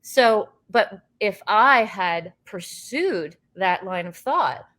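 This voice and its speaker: A woman from the United States, a guest in a casual interview, telling animated, rambling stories with many fillers and restarts. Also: medium pitch, slow. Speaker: interview guest, actress and filmmaker.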